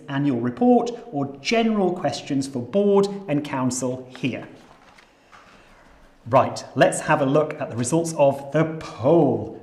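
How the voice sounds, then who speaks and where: A Yorkshire-accented host runs through event housekeeping in a steady mid-pitched voice. The delivery is scripted but dotted with light self-deprecating jokes. The voice carries a noticeably roomy, echoing sound. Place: nature reserve headquarters.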